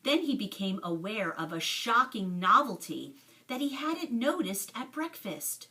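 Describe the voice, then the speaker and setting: A woman reading a children's storybook aloud with expressive, careful phrasing, recorded a little away from the microphone. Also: mid-range voice, measured pace, recorded from a distance. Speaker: storybook reader. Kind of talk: expressive read-aloud narration of a children's book.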